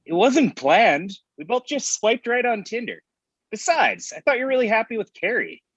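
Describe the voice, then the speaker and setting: Actor as glib, husky man in his thirties. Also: high voice, very animated, normal speed, a bit bright, dry recording, heavily acted. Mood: dismissive. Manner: glib, casual. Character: glib, breezy thirty-three-year-old man, smug rival friend. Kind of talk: naturalistic comedy table read. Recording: dull, muffled lo-fi microphone.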